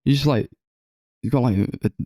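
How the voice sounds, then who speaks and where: A man's voice: mid-range voice, moderate pace, warm tone, no room sound. A man co-hosting a film podcast, discussing movies casually.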